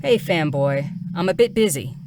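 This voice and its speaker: A woman playing a busy, brisk character in an audio drama, offhand in her delivery. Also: low-pitched, animated. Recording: very noisy background.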